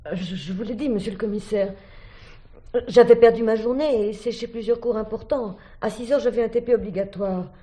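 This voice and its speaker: Anxious young female student played by an actress, mellow and fragile, halting replies, vintage radio play. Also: a little high, close to an everyday voice. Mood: flustered, defensive. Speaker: actor. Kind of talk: 1970s French radio play acting.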